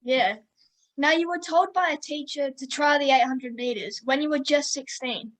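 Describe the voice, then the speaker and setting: Woman podcast host, high voice, upbeat and friendly, casual questions. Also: normal speed, rough recording. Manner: upbeat, friendly, calls guest mate. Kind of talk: interview questions.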